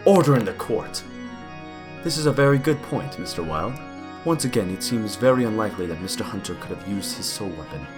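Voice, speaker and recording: A commanding judge in an audio drama, acted by a man, low in pitch with wide swings. He is formal and authoritative, over loud music. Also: animated, fairly quick, lightly acted. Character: authoritative, fair-minded male courtroom judge. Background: loud background music.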